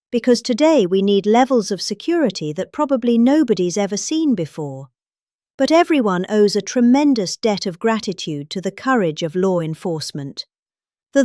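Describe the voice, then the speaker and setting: British woman with a very lively, swooping delivery. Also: fairly high pitch, dry recording, clean studio sound. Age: middle-aged. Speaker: reader of a political statement. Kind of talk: dramatized reading of a spoken statement.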